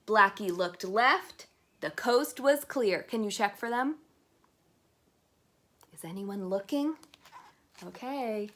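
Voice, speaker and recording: A woman reads a children's story aloud in a bright, highly animated voice. She takes her time and speaks expressively, stopping to put questions to her young listeners. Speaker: children's book reader.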